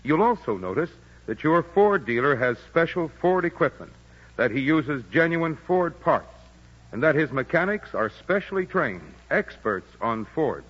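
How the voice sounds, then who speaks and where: Measured 1940s radio announcer, man. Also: fairly high pitch, big pitch swings. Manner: measured, reassuring. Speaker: commercial announcer. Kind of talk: radio commercial. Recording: reverberant dull old radio broadcast.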